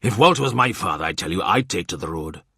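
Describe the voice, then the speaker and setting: A man reading a novel aloud, voicing a boastful, loud Welsh innkeeper who blusters and shouts. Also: low voice, expressive, fast talker, breathy edge, no room sound, studio quality, full character voice. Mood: blustering.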